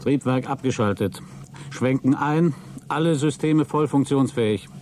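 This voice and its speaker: A man's voice: medium pitch, unhurried, darkish tone, faint music behind, lightly acted. An actor playing a wry male astronaut in a radio play, giving clipped, matter-of-fact flight reports.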